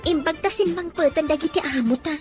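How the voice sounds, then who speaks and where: An actress with an Ilocano accent playing a sympathetic friend and fellow overseas worker in a radio drama. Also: female voice, very high voice, fairly quick, soft background music.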